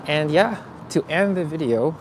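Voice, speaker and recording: A man from the Philippines hosting a live walking tour outdoors, talking in a relaxed, chatty way with many fillers. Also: on the high side, unhurried, low-quality recording. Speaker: vlogger. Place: city streets outdoors. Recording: faint street noise outdoors. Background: street traffic and ambience.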